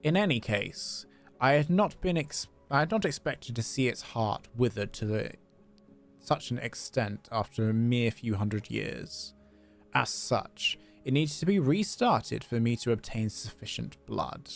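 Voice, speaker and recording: A man acts out an aloof male villain from a fantasy game. He talks slowly and eloquently, full of scorn, with a gravelly edge. Faint music sits behind him. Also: mid-range voice, lively intonation. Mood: haughty. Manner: haughty, formal, eloquent.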